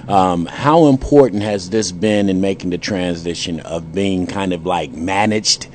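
Deep-voiced man asking long questions. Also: unhurried, darkish tone. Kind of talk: group discussion. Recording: background noise.

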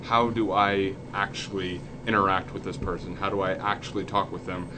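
A man's voice: low-pitched, level tone, normal speed. A young man, a student, talking earnestly in a group discussion and voicing his own questions as he goes. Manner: earnest, acts out questions in quotes. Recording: background noise.

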